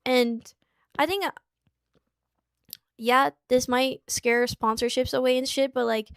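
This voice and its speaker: Casting: young woman in her twenties, high voice, halting and earnest, dry close recording. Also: steady tone, vocal fry, smooth, dry recording. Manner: halting, tearful at times. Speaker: streamer in a played clip. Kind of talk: emotional personal account. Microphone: small clip-on mic.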